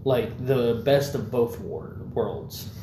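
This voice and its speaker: A man's voice: mid-range voice, steady tone, slow. A young man in casual chat, rambling loosely with lots of fillers and swearing. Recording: echoey room, faint background noise.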